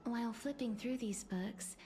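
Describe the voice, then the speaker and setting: A woman playing a gentle, melancholy young game heroine who speaks slowly, softly and formally in a breathy hush. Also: a little high, natural voice. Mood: wistful. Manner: slow, hushed, breathy, formal delivery. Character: gentle, melancholy, bookish young woman, soft-spoken and courteous. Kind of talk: video game voice acting, story dialogue. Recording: game music behind the voice.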